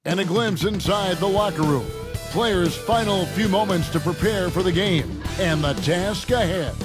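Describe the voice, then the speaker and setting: A man's voice: sits high, a little slow, over music. An actor playing a male sports broadcast announcer, giving pre-game commentary in a video game. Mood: anticipatory.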